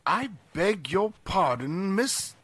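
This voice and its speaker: A man plays a grave, servile butler for an old-time radio drama. His voice sits fairly high and moves at a slow, formal pace. The pitch rises and falls widely with stiff politeness. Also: big pitch swings, fairly bright tone, full character voice. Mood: polite, stiff. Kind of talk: 1940s radio drama acting.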